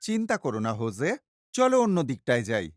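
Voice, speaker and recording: A man voicing a sensible, encouraging cartoon companion in a reassuring, expressive way for a children's dub, with music behind him. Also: mid-range voice, brisk, heavy background noise.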